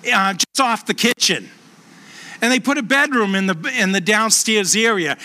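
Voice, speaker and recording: A middle-aged man with a Boston accent, a pastor preaching a sermon and telling stories earnestly, slowing down for emphasis. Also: sharp tone. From Boston, USA. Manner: earnest storytelling, slows and lowers voice for emphasis. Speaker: pastor and hospice chaplain.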